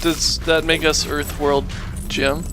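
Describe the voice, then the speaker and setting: A man's voice: on the high side, flat delivery, takes it slowly. A man co-commentating on a video game in casual, joking chat. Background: loud game music and effects.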